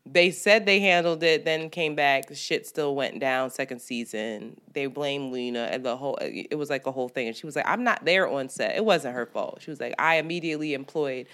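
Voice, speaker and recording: A woman's voice: extremely low pitch, brisk, few pauses, a bit bright. A woman co-hosting a podcast, chatting casually, swearing freely and acting out quotes. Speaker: podcast co-host. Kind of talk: casual chat.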